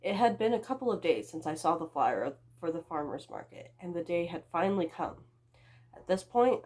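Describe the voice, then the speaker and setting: A woman's voice: on the low side, darkish tone. A young woman reading her own story aloud as a book vlogger, with a dramatic, self-mocking delivery and amused asides.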